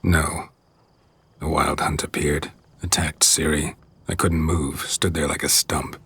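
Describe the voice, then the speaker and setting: An actor playing a weary, stoic monster hunter in a video game, gruff and gravelly, with terse deadpan delivery. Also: male voice, slow, no echo, full character voice. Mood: somber. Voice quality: gravelly, rasping low voice. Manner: terse, deadpan, clipped sentences.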